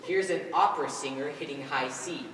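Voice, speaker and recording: A man's voice: on the high side, moderate pace, fairly bright tone, natural voice. A teenage boy on stage playing a cheeky, punning partner in a comedy double act. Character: cheeky male straight-talking partner in comedy duo. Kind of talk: high school stage play acting. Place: stage in a large hall, recorded from afar. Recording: echoey hall, distant microphone, background noise.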